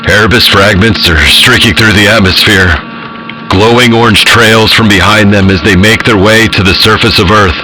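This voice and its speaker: An actor playing a solemn, resigned radio news announcer in an audio drama. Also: male voice, fairly low pitch, steady tone, normal speed, bright, forceful tone, faint music behind, rough recording. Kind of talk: radio broadcast narration.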